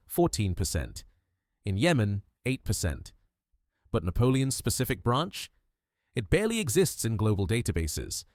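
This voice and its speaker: A man narrates a history documentary in short, punchy, dramatic phrases. His pitch rises and falls widely from line to line. The sound is dry, with no room echo.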